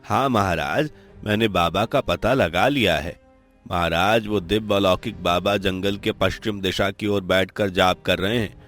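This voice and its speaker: A low-voiced, dutiful palace soldier acted by a man from a cartoon tale. He reports formally and with deference, over faint music. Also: a little slow, fairly bright tone. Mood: respectful report. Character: dutiful male royal soldier reporting to his king.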